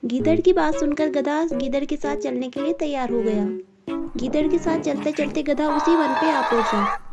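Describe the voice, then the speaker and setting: A woman with a high voice narrates a scripted fable as a storyteller. Music plays clearly behind her. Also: normal speed.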